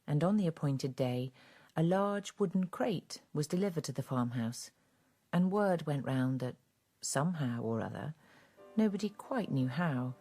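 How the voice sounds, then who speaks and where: A woman narrating a radio drama as a grave, measured storyteller of a farm fable. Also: low voice, animated, dark tone, slightly rough, faint music behind, full character voice.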